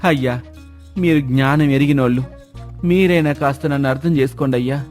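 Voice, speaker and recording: A man's voice: medium pitch, fairly quick, a little mellow, faint music behind, lightly acted. A man playing a humble, hardworking farmer in a moral story, protesting in a plaintive, insistent rustic manner. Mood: pleading. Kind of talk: acted moral storytelling dialogue.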